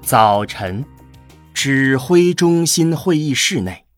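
A man's voice: medium pitch, lively intonation, slow, soft background music. A man narrating a scripted science-fiction radio drama.

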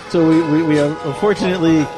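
A man's voice: fairly high pitch, fairly even intonation, over loud music, rough recording. Bantering man co-hosting a podcast. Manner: bantering, quick to argue and concede. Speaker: podcast co-host. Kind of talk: casual chat.

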